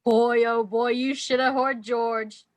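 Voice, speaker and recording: A woman acts an excitable office cousin in 1940s radio drama style. She is bossy and exclamatory, high in pitch, recorded very dry. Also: slow, no echo. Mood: excited.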